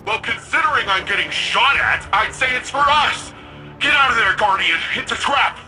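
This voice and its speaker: A man's voice: very high voice, lively intonation, normal speed, sharp tone, soft background music, exaggerated voice, poor audio. A man playing a brash, upbeat young guardian of a futuristic city over radio comms in a video game, talking in breezy slang. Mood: urgent.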